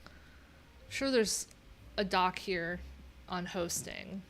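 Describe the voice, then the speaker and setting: A woman's voice: lowish voice, drawn-out words, fairly bright tone, slight background noise. A middle-aged woman hosting a casual livestream, thinking aloud and reading from her screen with wry, offhand asides. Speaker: livestream host and web developer. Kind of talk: casual live coding stream chat, thinking aloud. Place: small indoor room at home.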